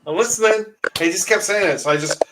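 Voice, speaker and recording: A man's voice: high voice, fast talker, distant mic, noisy background. A man telling a story with much laughter and animation as a guest in a casual stream chat, on a video call.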